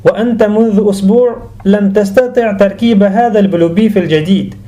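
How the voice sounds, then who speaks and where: A man gives a language lesson in a high but soft-edged, mellow voice. He explains in a didactic way and sets out each example with deliberate care. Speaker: language teacher.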